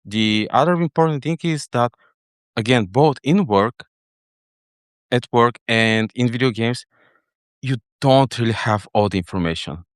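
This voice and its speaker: A middle-aged man from Bulgaria with a Bulgarian accent, explaining things casually as an interview guest, thinking aloud in halting phrases. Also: medium pitch, dry recording, clean studio sound. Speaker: interview guest, head of data engineering.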